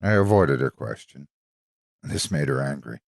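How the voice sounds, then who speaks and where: Deep guy reading a dramatic story, measured but really animated delivery, super dry sound. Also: vocal fry, no room sound. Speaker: story narrator. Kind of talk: scripted dramatic story narration.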